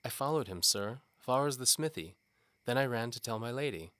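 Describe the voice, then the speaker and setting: Man putting on a timid young maid, pitched up. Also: expressive, normal speed, pushed and bright, no room sound. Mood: frightened, deferential. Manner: hesitant, deferential. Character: timid, cowed young female maid, deferential.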